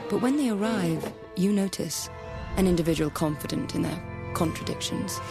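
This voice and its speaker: A low-voiced woman reads a scripted advert with audible vocal fry. Her delivery is measured, like reading out a definition. Music plays clearly behind her. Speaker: perfume advert narrator. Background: music bed.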